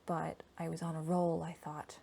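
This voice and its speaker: A woman speaking softly and unhurriedly in a whispery, casual ASMR chat style, as the host of a hobby channel. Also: sits low, little pitch movement, creaky, a little hiss or hum. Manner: soft-spoken, whispery, unhurried. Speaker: host of a crafting and board game channel.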